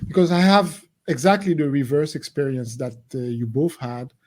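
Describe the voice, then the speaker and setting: A man from Haiti on a video-call panel, speaking in a measured, deliberate way. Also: fairly high pitch. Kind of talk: panel discussion.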